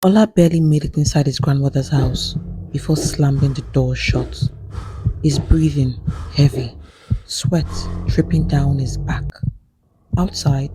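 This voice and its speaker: A man narrating a scripted horror folktale as a storyteller, in a grave, suspenseful manner with a husky voice. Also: a little high, mellow, a little hiss or hum, poor audio. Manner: slow, grave, suspenseful delivery.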